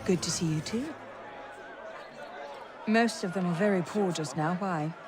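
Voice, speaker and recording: Game ally: conversational, knowing, wry, raised pitch over a score. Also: male voice, measured pace, music in the background, crowd noise. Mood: wry, informative. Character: wry, well-informed male informant ally.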